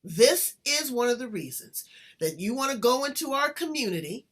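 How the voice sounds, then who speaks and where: Bright-voiced woman host. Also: on the high side, big pitch swings, normal speed, husky. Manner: emphatic, folksy, drawls words out. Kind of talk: casual interview chat.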